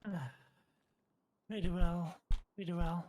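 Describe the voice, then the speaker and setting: A woman's voice: lowish voice, steady tone, slow, dark tone, a little hiss or hum. Laid-back female bar customer, played by a woman in game roleplay: hesitant, casual, plain-spoken. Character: laid-back female tavern patron from out of town.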